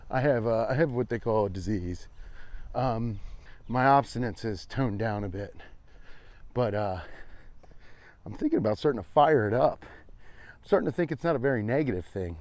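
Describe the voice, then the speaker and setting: A man's voice: on the low side, a little mellow, no room sound. An American man chatting casually as he walks, riffing playfully in a loose, repetitive, sweary comedic ramble. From Cincinnati, US. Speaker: vlogger and podcast host. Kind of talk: casual rambling comedic chat while walking.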